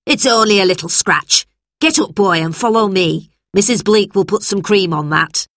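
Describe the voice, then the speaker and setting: A woman's voice: mid-range voice, normal speed, fairly bright tone, dry recording, studio quality, natural voice. A woman voicing a harsh, bullying middle-aged male factory owner who growls and talks down to children, in a storybook reading. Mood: gruff, dismissive. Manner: gruff and dismissive.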